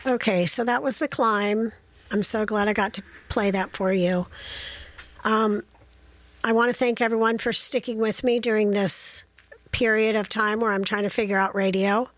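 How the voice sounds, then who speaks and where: A woman hosting community radio, chatting casually and reading passages aloud slowly from a studio microphone. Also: medium pitch, fairly even intonation, a bit bright, no echo.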